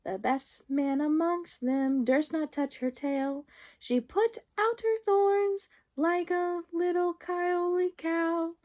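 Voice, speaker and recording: A woman's voice: very high-pitched, fairly even intonation, slow, a little mellow, pitched above the performer's own voice. A woman voicing merry little storybook mice who chant rhymes in a sing-song, twittering way.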